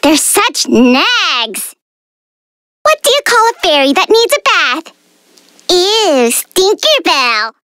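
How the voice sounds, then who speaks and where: A tiny, bratty fairy girl from a video game, squeaky and extremely high. The delivery is theatrical and sassy, swooping wildly up and down with a piercingly bright tone. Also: very animated, takes it slowly, no echo, clean studio sound, full character voice. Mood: sassy, mocking. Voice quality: girlish, squeaky. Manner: playful, theatrical, teasing, sassy. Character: tiny mischievous female fairy, vain and bratty. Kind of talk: video game character voice lines.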